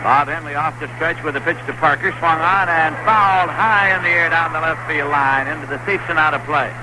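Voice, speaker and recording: Male play-by-play announcer, vintage radio, slightly high voice, hissy old broadcast. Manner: brisk, rising excitement on big plays. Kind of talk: baseball radio commentary.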